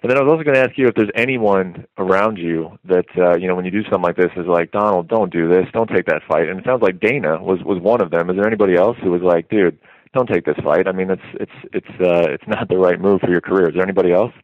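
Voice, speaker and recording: Male sports journalist, deep voice, quick talker, casual and courteous on a telephone line. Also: no room sound. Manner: polite, rambling questions. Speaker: sports reporter. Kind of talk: casual interview questioning. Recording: narrowband phone conference line.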